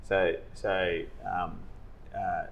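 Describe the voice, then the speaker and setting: Low-voiced hesitant male adviser. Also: level tone, very slow, creaky, dull audio, a little hiss or hum. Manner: hesitant, many restarts and fillers. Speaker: financial adviser. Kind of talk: casual podcast chat.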